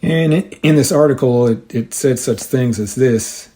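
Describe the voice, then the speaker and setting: A man hosting a radio hobby show, explaining things informally and a little haltingly in a casual monologue. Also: mid-range voice, warm tone. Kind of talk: casual hobbyist monologue with some reading aloud.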